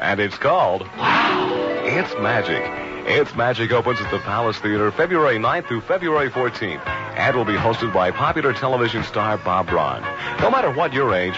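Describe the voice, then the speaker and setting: A male announcer reads a radio ad, upbeat and sing-song, with a voice that rises and falls freely. Music plays clearly behind him on a dull old recording. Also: fairly low pitch. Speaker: commercial announcer. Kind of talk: radio advertisement.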